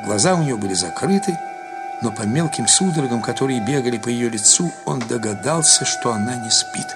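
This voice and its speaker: A man narrating a literary story as an actor would, in an expressive scripted reading. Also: medium pitch, fairly quick. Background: music.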